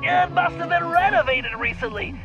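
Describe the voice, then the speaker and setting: A man's voice: very high voice, normal speed, creaky, muffled recording. A man playing a brainy, earnest cartoon turtle tech planner in a video game, with a precise, fussy manner. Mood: instructive. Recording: music behind the voice.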